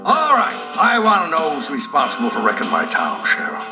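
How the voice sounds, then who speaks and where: A man playing a gruff, stern old race car who judges, blunt and folksy in a storybook recording. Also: fairly high pitch, wildly swooping pitch, a little fast. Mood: stern, commanding. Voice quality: gravelly. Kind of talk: storybook character acting. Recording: loud music behind the voice.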